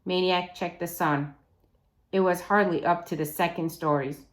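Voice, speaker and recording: A woman reading a children's novel aloud as a teacher would, in an expressive, deliberate storytelling way. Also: on the low side.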